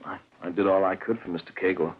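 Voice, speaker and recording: An actor playing an anxious, mild-mannered husband in an old radio drama, with a husky, stammering delivery. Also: male voice, sits low, little pitch movement, fast. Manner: nervous, stammering. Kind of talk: 1940s radio acting. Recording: muffled old radio recording.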